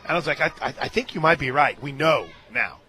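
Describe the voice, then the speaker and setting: A higher-voiced American man works as a radio play-by-play announcer for softball. He chats casually between pitches and gets animated calling the plays, speaking from a stadium booth. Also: fast talker. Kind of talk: live radio softball commentary with casual banter.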